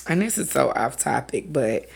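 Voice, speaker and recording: Raspy gravelly woman cohosting a podcast, swings up and down a lot, loud and laughing, crowd noise behind. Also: very deep voice, sing-song, moderate pace. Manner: loud, laughing, blunt and profane. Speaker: podcast co-host. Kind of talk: casual chat.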